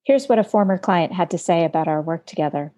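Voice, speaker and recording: A woman with a lowish, mellow voice hosts an interview podcast. She talks in an easy conversational way and searches for her words with small fillers. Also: quick pace. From United States. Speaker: podcast host. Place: home studio in Montana.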